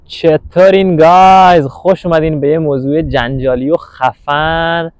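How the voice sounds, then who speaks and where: Man, very rapid, hyped-up delivery, repeats words. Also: on the high side, steady tone, darkish tone, smooth, no echo. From Iran. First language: Persian. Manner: fast, hypey, repeats words when asking. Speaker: street interviewer. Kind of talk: street interview and channel intro.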